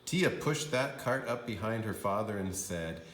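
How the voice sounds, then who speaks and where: Male kindergarten teacher for picture-book narration: unhurried, emphatic, animated, roomy sound. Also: on the low side. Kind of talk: expressive storybook read-aloud for young children.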